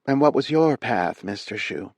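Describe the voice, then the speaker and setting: A man's voice: mid-range voice, lively intonation, normal speed, dry recording, pitched above the performer's own voice. A man voicing a poised commanding rich hostess. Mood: probing. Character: poised wealthy woman hostess, commanding wife.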